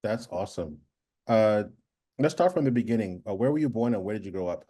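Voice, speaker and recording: A male podcast host asking interview questions in a brisk, upbeat way. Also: lowish voice, lively intonation, warm tone, breathy edge.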